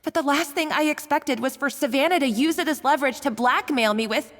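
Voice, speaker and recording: A woman's voice: high-pitched, fairly quick, a bit bright. A woman playing a timid, apologetic young kitchen worker in a game, hesitant and prone to stammering. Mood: guilty, anxious. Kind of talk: English game voice acting. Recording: long reverb over background music.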